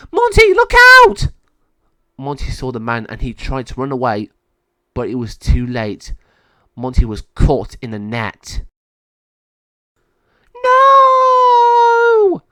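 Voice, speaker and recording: A man's voice: medium pitch, darkish tone, no echo. A man reading a children's story aloud as narrator, in a simple, scripted storytelling style.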